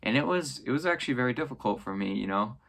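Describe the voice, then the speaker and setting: A man's voice: mid-range voice, lively intonation, quick pace, gravelly, fairly bright tone. A young man casually explaining a religious text, with many fillers and tag questions. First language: English. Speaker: channel host explaining scripture. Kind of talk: casual explanatory talk to camera.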